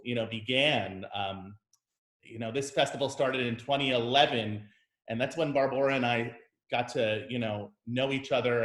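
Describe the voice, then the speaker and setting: Male conductor as host, mid-pitched, measured and friendly, recorded in a roomy, echoey space. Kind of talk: casual interview conversation. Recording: roomy echo.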